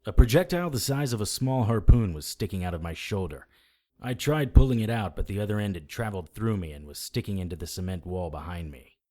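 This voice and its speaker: An actor playing a cocky, sarcastic hardboiled supernatural detective, narrating and trading defiant lines in a noir audio drama. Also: male voice, lowish voice, animated, fairly quick, darkish tone, lightly acted. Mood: wry narration.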